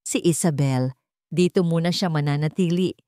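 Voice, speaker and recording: A woman's voice: deep voice, moderate pace, mellow, smooth, no echo, studio quality, lightly acted. A woman voicing a serious, wealthy young male boss in a love story, terse and earnest, with a Filipino accent. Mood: formal, curt.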